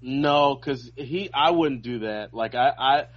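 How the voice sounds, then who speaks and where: A man's voice: mid-range voice, slow. An American man, a comedian guest on a podcast, chatting casually and conversationally. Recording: dull, lo-fi remote-sounding audio.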